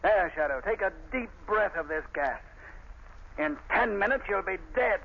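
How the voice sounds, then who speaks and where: An actor playing a ruthless gang boss who used to be a lawyer, in 1930s radio drama style, with a gravelly, sneering delivery. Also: male voice, sits high, lively intonation, moderate pace, exaggerated voice. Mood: menacing. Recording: dull 1930s radio recording with hiss.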